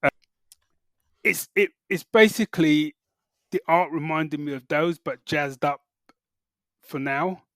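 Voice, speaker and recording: A man with a slightly raised pitch talks as a podcast co-host. He gives his opinions in loose, colloquial language, full of conversational tags. The sound is dry, with very little room in it. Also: takes it slowly, pauses often, a bit bright, no room sound. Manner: colloquial, opinionated, peppers talk with "you know" and "like". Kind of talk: casual chat review.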